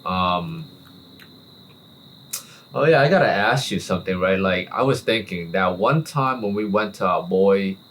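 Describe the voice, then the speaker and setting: A man chatting on a cigar podcast in an unhurried, laid-back way, drawing out his exclamations. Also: deep voice, lively intonation, fairly bright tone, slight background noise. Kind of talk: casual chat. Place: relaxed cigar-smoking hangout with friends.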